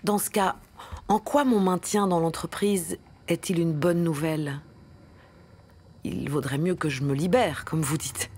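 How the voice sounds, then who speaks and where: A deferential employee in a darkly comic radio play. Her voice is low and husky, and she speaks hesitantly, with stammered, careful phrasing. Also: fairly even intonation, measured pace, darkish tone. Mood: quietly resolved. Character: timid, deferential single female employee. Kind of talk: satirical radio drama acting.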